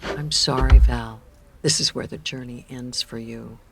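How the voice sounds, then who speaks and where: A woman playing a kindly, cryptic elderly widow in an audio drama, gentle and measured with a husky, creaky voice. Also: a bass voice, steady tone, heavy background noise, exaggerated voice. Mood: warm, gracious. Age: older.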